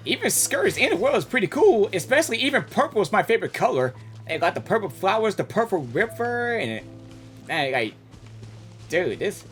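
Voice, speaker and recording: A woman gaming streamer giving casual live reactions in an informal, halting, exclamatory way. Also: medium pitch, a bit bright.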